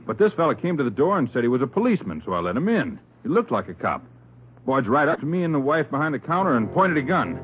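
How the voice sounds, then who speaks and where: An actor playing a shaken, talkative store owner with an agitated, folksy manner in an old radio drama. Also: male voice, mid-range voice, sing-song, fast, lightly acted. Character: shaken, talkative male drugstore owner, robbery victim. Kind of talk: 1950s radio drama acting. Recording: tinny old radio recording.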